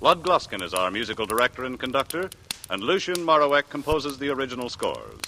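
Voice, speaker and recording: A man's voice: medium pitch, huge pitch swings, fairly quick, sharp tone, a little hiss or hum. An American man reading scripted credits as a 1940s network radio announcer. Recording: vintage 1940s radio recording.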